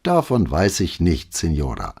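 A man voicing an unbothered male police dispatcher who answers flatly. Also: low-pitched, a little slow, creaky, breathy edge, full character voice. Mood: unmoved. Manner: flat and unbothered.

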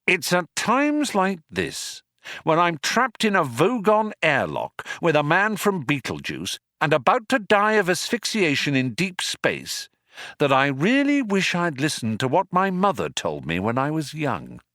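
A man's voice: on the high side, wildly swooping pitch, measured pace, sharp tone, dry recording, studio quality, voice pushed up, heavily acted. A man voicing an exasperated, bewildered human man in an audiobook story, plaintive, indignant and sarcastic.

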